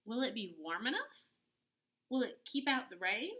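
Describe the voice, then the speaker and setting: A woman's voice: fairly high pitch, sing-song, normal speed, highs cut off. A woman voicing a thoughtful, cautious young male pig from a storybook in an earnest, questioning way. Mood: doubtful.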